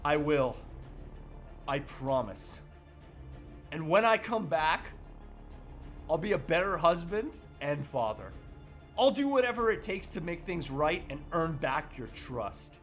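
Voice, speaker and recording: A man's voice: a little high, sing-song, slow pace, long pauses, faint music behind. A man playing a determined, earnest and melodramatic husband on a crusade in an amateur comedy table read. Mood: tender, solemn.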